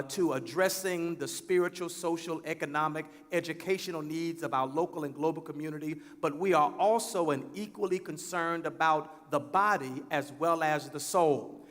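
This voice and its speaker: A pastor hosting a church panel. His voice sits a little high for a man, and he talks in steady, preacherly phrases broken by "uh." The sound rings with the long reverb of a hall. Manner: measured, preacherly phrasing with fillers. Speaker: pastor and host.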